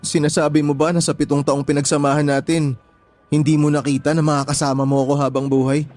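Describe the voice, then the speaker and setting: Man acting clingy heartbroken boyfriend, high male voice, pleading, insistent, radio drama, faint music. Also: flat delivery, fast, a little mellow, natural voice. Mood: wounded, pleading. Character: desperate, pleading jilted boyfriend clinging to his ex. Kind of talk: emotional radio drama dialogue.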